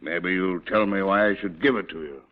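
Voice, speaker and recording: An actor playing a gruff, grudging army superior with blunt delivery, in mid-century dramatic style. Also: male voice, sits low, moderate pace, natural voice. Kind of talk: mid-20th-century American dramatic acting. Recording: dull old radio-era drama recording.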